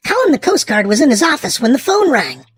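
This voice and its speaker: A woman hosting and narrating a children's storybook aloud, with theatrical, drawn-out emphasis for small children. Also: high-pitched, big pitch swings, breathy edge, no room sound, studio quality. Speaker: children's storybook reader and channel host. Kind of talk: expressive read-aloud narration for young children.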